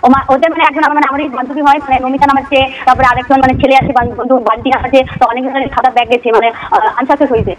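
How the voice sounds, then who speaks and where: A young woman in a spontaneous phone conversation, explaining herself in halting, self-corrected phrases. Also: high voice, steady tone. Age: young adult. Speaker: student receiving a prank call. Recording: distant phone call, faint noise.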